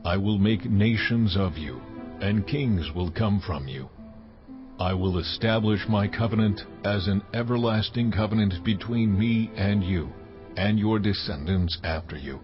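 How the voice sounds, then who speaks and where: A very low, majestic God acted by a man declaims in a dramatized scripture recording. The delivery is stately and solemn. The sound is a little dull, with clear music behind it. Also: close to an everyday voice. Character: almighty God, solemn, commanding, majestic male deity.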